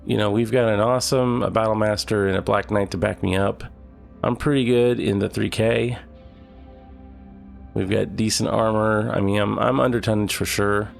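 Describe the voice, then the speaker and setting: A man's voice: fairly low pitch, moderate pace, vocal fry, soft background music. A man with a cold, sounding nasal, casually commenting on his gameplay. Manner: relaxed, thinking aloud. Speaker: gaming channel host.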